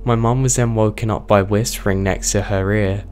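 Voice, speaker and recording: A man with a strained, hoarse voice, reading true horror stories aloud as the host of his channel at a steady pace. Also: lowish voice, normal speed, a little hiss or hum. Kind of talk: scripted horror story narration.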